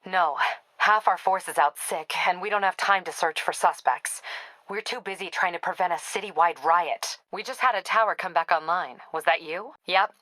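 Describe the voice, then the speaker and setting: A tough female police captain from a video game. Her voice is on the low side, bright and forceful, recorded close and dry. She talks in clipped, brisk phrases with a wry, sardonic edge. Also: no room sound, rough recording. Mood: terse, stressed.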